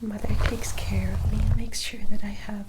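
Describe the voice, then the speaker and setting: A woman playing a sweet, dutiful young vampire sister in a whispered ASMR roleplay, speaking softly, tenderly and a little hesitantly. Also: mid-range voice, little pitch movement, very noisy, lightly acted. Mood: shy, explaining. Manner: soft, hesitant, tender, unhurried.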